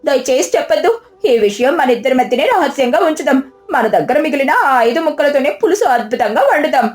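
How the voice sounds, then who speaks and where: A woman voicing an excitable young daughter-in-law in a dramatic, wailing storybook style. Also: fairly quick, faint music behind. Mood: pleading. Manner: shrill, dramatic exclamations.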